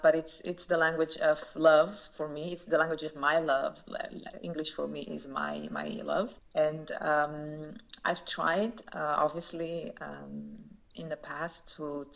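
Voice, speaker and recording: Low, gravelly woman with halting speech. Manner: thoughtful, halting, many fillers and restarts. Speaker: poet and translator. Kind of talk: interview answers. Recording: dull lo-fi recording.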